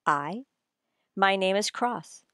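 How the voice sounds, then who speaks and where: A woman playing a creaky, suave, sinister stranger. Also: mid-range voice, expressive, slow, a bit bright, no echo, clean studio sound. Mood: smooth, menacing. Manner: polite, composed. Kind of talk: retro radio mystery acting.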